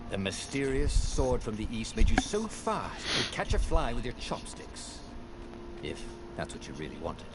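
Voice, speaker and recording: An actor playing a witty, theatrical male storyteller who narrates a fantasy video game in a wry, expressive way. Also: mid-range voice, normal speed, heavy background noise. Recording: loud game music underneath. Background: loud music from the video game.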